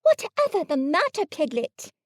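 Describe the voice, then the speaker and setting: A woman voicing a kind, calm young boy in a storybook reading, speaking gently and matter-of-factly. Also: very high-pitched, normal speed, no echo, studio quality, voice pushed up.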